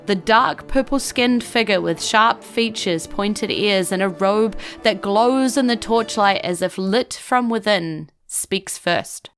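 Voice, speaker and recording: A woman's voice: mid-range voice, slow pace, few pauses, fairly bright tone, faint music behind. A woman narrating a scripted fantasy audio drama as a storyteller.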